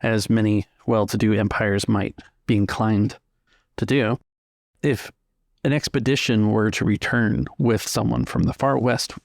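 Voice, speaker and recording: A man's voice: on the low side, creaky, no echo, studio quality. A man narrating a tabletop roleplaying game as its game master.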